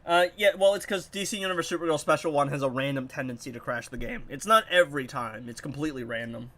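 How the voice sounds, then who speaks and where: A man's voice: fairly high pitch, quick pace. A young man with a stuffy, nasal voice, chatting casually as he comments on his own gameplay. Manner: animated, puzzled, rambling. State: congested, nasal. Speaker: gaming YouTuber.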